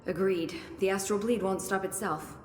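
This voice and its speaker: A woman playing a candid, analytical scientist with dry humour in a video game cutscene, explaining things in a measured way. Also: medium pitch, darkish tone, close to an everyday voice. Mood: analytical, wry. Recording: echoey hall, long reverb, background noise.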